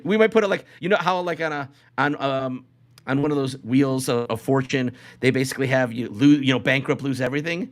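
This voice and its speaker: A man's voice: medium pitch, brisk. A man hosting a casual live podcast, talking in a rambling way and often repeating phrases as he goes. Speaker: podcast host.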